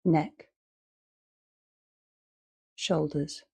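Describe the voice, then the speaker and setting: A woman leading a guided meditation as a teacher, speaking calmly and in short, measured phrases with long pauses. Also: deep voice, gravelly, breathy edge.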